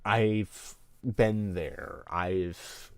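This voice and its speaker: A man's voice: on the low side, steady tone, extremely slow, smooth, no room sound. A streamer man ranting candidly to his live audience, rambling, emotional and full of swearing. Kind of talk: candid venting on a livestream.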